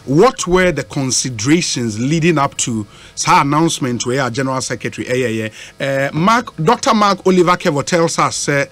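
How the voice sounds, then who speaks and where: A middle-aged Ghanaian man hosting a live radio interview from a studio, halting and repeating words, mixing in local words. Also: a little high, lively intonation, fairly bright tone. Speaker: radio host. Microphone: studio microphone with headset.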